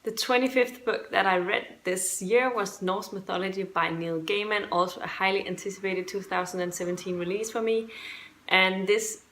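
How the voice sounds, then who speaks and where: A woman's voice: mid-range voice, normal speed, a bit bright. A woman from Denmark with a Danish accent, giving a casual book review to viewers in a hesitant, rambling, self-correcting way. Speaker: book reviewer and video host.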